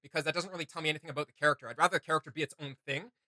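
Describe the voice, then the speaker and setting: A man co-hosting a casual reaction video, talking in an animated, rambling way and searching for words aloud. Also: a little high, very fast, no room sound, studio quality. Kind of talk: casual rambling discussion to viewers.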